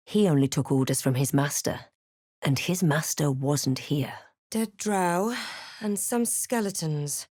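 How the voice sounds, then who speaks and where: A wary, snarky female adventurer from a fantasy game, her voice on the low side. She delivers lines with measured, dry drama, recorded close and dry. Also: darkish tone, dry recording. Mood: wary, wry. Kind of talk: video game character lines and narration.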